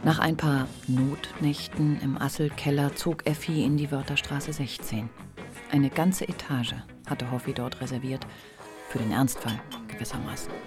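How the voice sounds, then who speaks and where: Male actor narrating literary radio play, mid pitch, measured n reflective, music behind. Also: steady tone, natural voice. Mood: contemplative.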